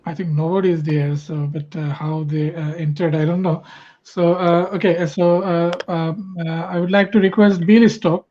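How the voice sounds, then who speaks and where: A man with a Bangladeshi accent moderates an online event. He speaks hesitantly and fills his pauses with uh's. The audio is dull and lo-fi. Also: a little high, fairly even intonation. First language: Bengali. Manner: hesitant, many uh fillers. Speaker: festival moderator.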